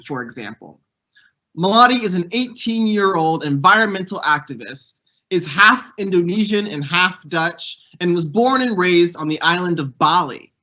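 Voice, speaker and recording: Bright-voiced young man on a muffled call. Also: sits high. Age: young adult. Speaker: youth program fellow hosting a panel. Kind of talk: prepared speech and interview.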